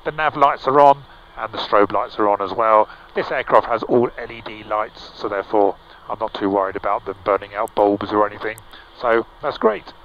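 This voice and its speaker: A middle-aged man piloting a small aircraft, casually explaining the cockpit over a headset boom mic, relaxed, with frequent fillers and restarts. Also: moderate pace. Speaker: pilot and vlog host. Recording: slightly dull, with faint engine hum.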